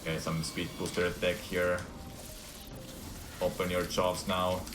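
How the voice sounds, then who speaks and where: Deep-voiced Finnish man narrating his gameplay. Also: monotone, unhurried, reverberant, background noise. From Finland. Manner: laughs often, chatty running commentary. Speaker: speedrunner playing live. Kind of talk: casual commentary while gaming.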